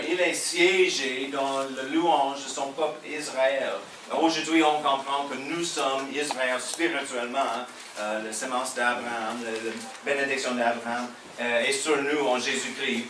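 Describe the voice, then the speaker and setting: A man's voice: mid-range voice, noisy background. American guy preaching in french w/ quebec twang, lots of euhs, far off in a big echoey church. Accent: American with Québécois French. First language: English. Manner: halting, many filler sounds, jokes with the audience. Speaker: pastor. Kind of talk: sermon. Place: church hall. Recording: echoey hall heard from a distance.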